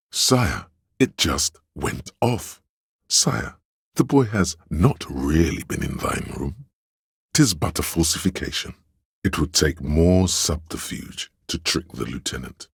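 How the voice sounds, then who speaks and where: Game role: scheming courtly adviser, extremely deep husky bass, grandiloquent and obsequious, close dry sound. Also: male voice, no echo, exaggerated voice. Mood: grandly deferential. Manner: theatrical, grandiloquent, addressing a liege. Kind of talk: scripted game voice lines, archaic courtly speech.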